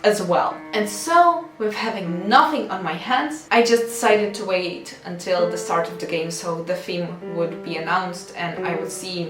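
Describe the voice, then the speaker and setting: A woman's voice: lowish voice, unhurried, hardly any pauses. A young adult woman narrating a devlog with wry, self-deprecating humor and theatrical emphasis, moving between scripted lines and casual asides. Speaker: game developer and video creator. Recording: echoey room, distant mic, music underneath.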